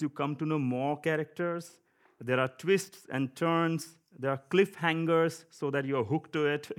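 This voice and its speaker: Indian man preaching with a chuckle. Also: a little high. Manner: conversational, chuckling, with frequent fillers. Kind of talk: sermon. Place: church.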